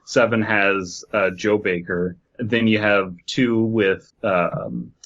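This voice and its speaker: Guy with a lowish voice rambling thru opinions on a podcast, kinda muffled lo-fi sound. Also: fairly even intonation, slow, fairly bright tone. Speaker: podcast co-host. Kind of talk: casual opinionated panel discussion.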